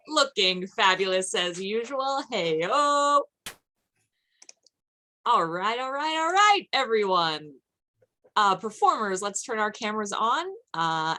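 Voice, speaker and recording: A woman's voice: medium pitch, pushed and bright. A woman hosting an online event in an effusive, upbeat way. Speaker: event host. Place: online video call.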